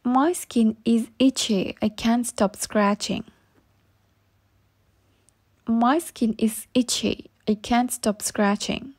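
A woman reading short English practice phrases slowly and saying each one twice for learners. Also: fairly high pitch, steady tone, darkish tone. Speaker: English teacher.

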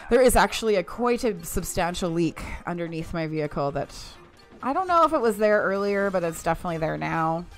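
A woman's voice: on the low side, animated, a little fast, faint music behind. A young woman streaming a mobile shooter, chatting casually with viewers and laughing often. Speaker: game streamer. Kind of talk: casual live-stream chat while playing.

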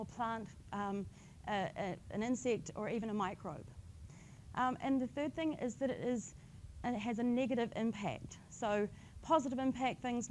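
A woman with a slightly croaky voice giving a friendly public talk on invasive species, explaining plainly with light humour. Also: fairly high pitch, darkish tone, a little hiss or hum, low-quality recording. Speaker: invasive species outreach coordinator. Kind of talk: public science talk with slides.

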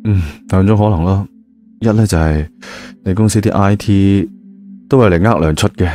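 Man playing a cheeky, low-voiced Cantonese security guard. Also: moderate pace, warm tone, soft background music, lightly acted. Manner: teasing, flippant. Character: cheeky, smug young male security guard.

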